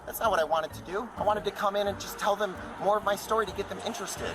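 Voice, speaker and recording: A man's voice: sits high, fast. A man arguing with a police officer, indignant and insistent, recorded on a body camera from a distance. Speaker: man in a body cam video. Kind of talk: heated argument with police. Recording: distant body cam audio with noise.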